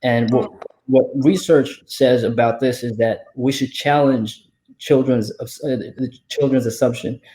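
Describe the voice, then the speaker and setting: A man's voice: medium pitch, steady tone, unhurried, warm tone, a little hiss or hum, rough recording. A man speaking earnestly as a guest in a casual panel discussion, often checking in with "right?". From Somalia.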